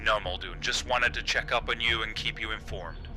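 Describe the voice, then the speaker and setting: An actor playing a cautious, defensive park operations manager on a radio call in a horror drama, measured and officious. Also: male voice, fairly low pitch, pushed and bright. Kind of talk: found-footage horror audio drama. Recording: noisy radio transmission.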